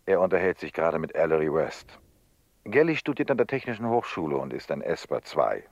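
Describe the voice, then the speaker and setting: An actor playing a worldly, gallant telepathic police prefect in a radio play, with a measured, insistent manner. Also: male voice, sits low, big pitch swings, dry recording. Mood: urbane, playful. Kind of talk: German radio play acting. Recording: dull, dry studio radio recording.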